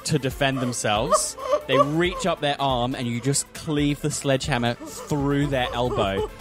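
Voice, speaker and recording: A man's voice: medium pitch, unhurried. Amused male game master over prominent music. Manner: amused, laughs easily. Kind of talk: narrating a tabletop roleplaying game. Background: music bed.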